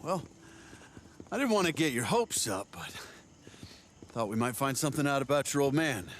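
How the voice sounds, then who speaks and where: A man's voice: medium pitch, expressive, moderate pace, slight background noise, close to an everyday voice. An actor playing a genial, folksy older agency man in a video game, drawling and amused. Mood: easygoing, reflective. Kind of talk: video game cutscene acting.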